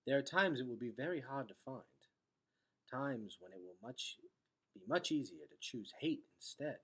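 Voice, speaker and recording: A kindly, wise male elder from a storybook, read by a man. He speaks in formal, old-fashioned phrases with a husky edge and very lively intonation, measured in his wording. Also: mid-range voice. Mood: gently encouraging.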